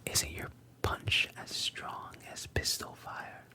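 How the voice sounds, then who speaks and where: A storyteller voicing a calm adult male pirate captain in a soft, breathy whisper. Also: takes it slowly, natural voice. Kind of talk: whispered ASMR story retelling.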